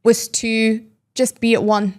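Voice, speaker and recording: A woman's voice: a little high, level tone, a little slow, darkish tone. A Scottish woman in her thirties, enthusiastically describing things as a guest in a casual podcast interview. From Scotland, Speyside. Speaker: brand education guest.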